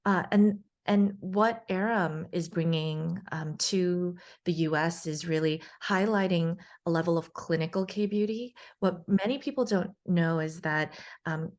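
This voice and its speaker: A woman from New York City speaks as an expert interview guest. Her voice sits a little low, and she explains things conversationally in a measured way, pausing often between phrases. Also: darkish tone. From born in South Korea, grew up in New York City. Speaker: guest, facial plastic surgeon.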